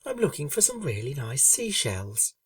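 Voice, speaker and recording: A woman voicing a gentle, shy young female pegasus pony in a storybook reading, speaking softly and earnestly. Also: rumbling low, sing-song, normal speed, dry recording, recorded from a distance, exaggerated voice. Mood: gently stern. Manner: soft-spoken, earnest, kindly.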